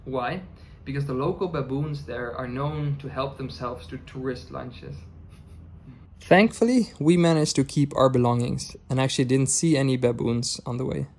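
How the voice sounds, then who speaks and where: A man whose first language is Dutch, narrating his travel vlog in a calm, measured, scripted voice-over. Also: medium pitch, gravelly, low-quality recording. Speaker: travel vlogger.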